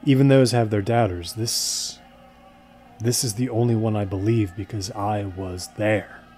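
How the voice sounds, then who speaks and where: A man's voice: fairly low pitch, fairly even intonation, unhurried, mellow, close to an everyday voice. An actor playing a serious, troubled man obsessed with the supernatural, speaking plainly and hesitantly in an understated audio drama. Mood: haunted, serious.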